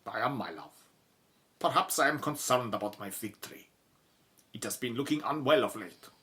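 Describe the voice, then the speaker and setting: A man playing a gentle, pensive Egyptian doctor in an audio drama, soft-spoken and wistful, with formal wording. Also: mid-range voice, animated, moderate pace.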